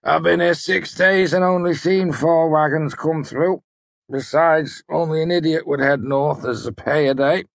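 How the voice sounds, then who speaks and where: A man voicing a sour, gravelly sellsword. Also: fairly high pitch, fairly even intonation, measured pace, no room sound, pitched above the performer's own voice. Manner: sour, grumbling. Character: weary bruised grumbling male mercenary.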